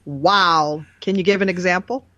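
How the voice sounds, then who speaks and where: A woman's voice: on the low side, steady tone, normal speed, a bit bright, no echo. A woman co-hosting a podcast in casual chat, recorded on a dull lo-fi track. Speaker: podcast co-host.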